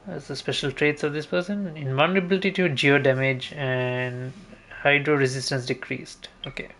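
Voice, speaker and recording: A man's voice: medium pitch, steady tone, normal speed, a bit bright, a little hiss or hum. A man giving casual gameplay commentary, thinking aloud and muttering to himself as he plans his next moves. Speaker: streamer playing a video game. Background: game music.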